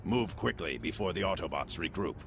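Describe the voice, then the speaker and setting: An actor playing a cold, calculating robot villain in a video game, loyal and formal in his deliberate speech. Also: low-pitched, lively intonation, gravelly. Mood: cold, dutiful. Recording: voice under loud game music.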